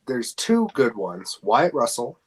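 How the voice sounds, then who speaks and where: A man's voice: medium pitch, wildly swooping pitch, takes it slowly, breathy edge. A man co-hosting a podcast in casual chat, often hesitating as he searches for words. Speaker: podcast co-host. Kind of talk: casual podcast chat about fan casting.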